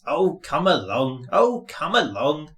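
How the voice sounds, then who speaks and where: A man voicing a proud, impatient little male tank engine in a children's storybook, brisk and bossy. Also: fairly high pitch, very animated, a bit bright, exaggerated voice. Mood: hurried, impatient.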